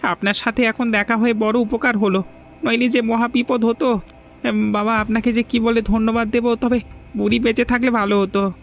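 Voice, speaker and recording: A man's voice: very high voice, flat delivery, normal speed, gravelly, slight background noise. A man playing a timid, earnest young villager in a fairy-tale cartoon, with an anxious, questioning manner. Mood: grateful, earnest. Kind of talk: dramatic dubbed cartoon dialogue.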